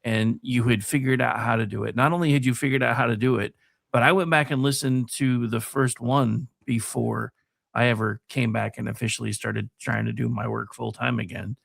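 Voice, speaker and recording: A man's voice: medium pitch, steady tone, normal speed, creaky, dry recording, studio quality. A man recounting personal experience in a casual, earnest and enthusiastic way.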